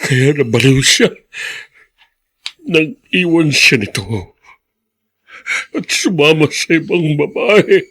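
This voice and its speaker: An actor playing an agitated, disbelieving old father with a strained raspy voice and Filipino accent in a radio drama. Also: fairly high pitch, lively intonation, slow pace, long pauses, a bit bright, heavily acted. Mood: shaken, grieving.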